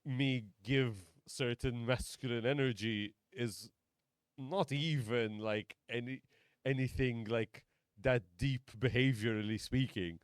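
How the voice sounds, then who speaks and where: Deep-voiced comedian, a guest on a podcast who hesitates, restarts and laughs easily. His tone is smooth and resonant. The sound is close and dry. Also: steady tone, takes it slowly, no room sound. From Malta. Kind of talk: casual podcast conversation.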